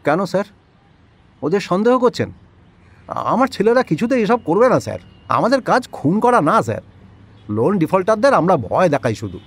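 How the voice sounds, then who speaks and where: A man's voice: sits high, very animated, normal speed, long pauses, slightly rough, full character voice. A man playing a nervous, fawning loan-recovery boss in an audio drama, often stammering and evasive.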